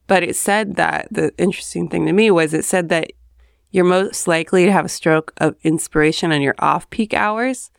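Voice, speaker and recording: A woman hosting her podcast, chatting in a rambling way with asides, hoarse from having just woken up at home. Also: lowish voice, moderate pace, no room sound, clean studio sound. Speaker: podcast host. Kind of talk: casual solo monologue. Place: home, early morning.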